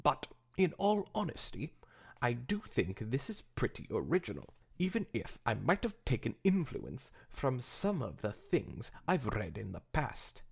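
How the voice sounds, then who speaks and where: Casting: man playing a mock-sophisticated pony author in a fan fiction reading, expressive, husky, keen to explain. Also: mid-range voice, a little slow, exaggerated voice. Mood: persuasive, hopeful. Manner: affected, mock-sophisticated, expository.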